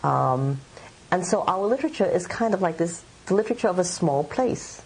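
A woman's voice: fairly low pitch, animated, normal speed, husky, low-quality recording. A middle-aged woman writer from Hong Kong, answering interview questions in a thoughtful, meandering way with many fillers. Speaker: writer and editor.

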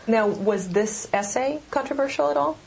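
A woman's voice: on the high side, normal speed, creaky, darkish tone, husky, low-quality recording. A woman interviewer asking brief, encouraging questions.

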